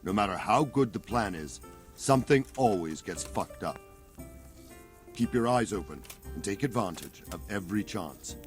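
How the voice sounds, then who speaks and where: A man voicing a gruff veteran soldier, blunt and fatherly. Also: on the low side, lively intonation, moderate pace, soft background music, lightly acted. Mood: gruff encouragement. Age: middle-aged. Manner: blunt, earthy soldier's talk. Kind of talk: video game cutscene voice acting.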